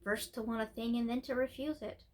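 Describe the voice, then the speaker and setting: A woman reading the part of a kindly storybook nursemaid, indulgent and gently chiding without being cross. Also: on the high side, steady tone, fairly quick, pitched below the performer's own voice, close to an everyday voice. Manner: fussing but not cross.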